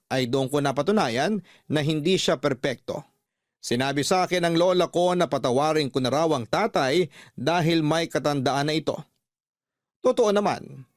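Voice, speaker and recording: A man's voice: fairly high pitch, no echo, studio quality. A man reading a scripted first-person story as a radio storyteller.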